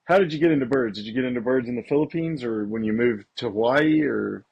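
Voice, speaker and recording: A man co-hosting a bird podcast, chatty and quick with questions for his guest. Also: mid-range voice, creaky, darkish tone, husky, highs cut off. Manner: chatty, asks questions, frequent restarts. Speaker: podcast co-host. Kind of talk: casual chat.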